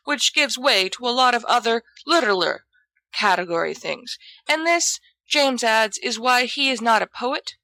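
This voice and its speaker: Bright-voiced woman narrating a story. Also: mid-range voice, creaky, no echo, rough recording. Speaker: audiobook reader of fan fiction. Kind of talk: expressive scripted story narration.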